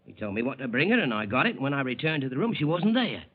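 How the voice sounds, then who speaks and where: An actor playing a dutiful ship's steward in a 1940s radio drama, deferential and factual. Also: male voice, medium pitch, big pitch swings, fast. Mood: earnest. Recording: tinny vintage radio broadcast.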